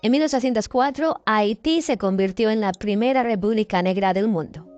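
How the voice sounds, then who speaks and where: A woman reading a scripted news documentary narration in a clear, measured way. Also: mid-range voice, a little mellow, soft background music.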